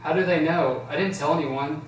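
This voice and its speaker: A man's voice: fairly high pitch, steady tone, moderate pace, natural voice. A man reading the part of an anxious, secretive teenage boy in an amateur drama table read. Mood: anxious, troubled. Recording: roomy, distant, faint noise.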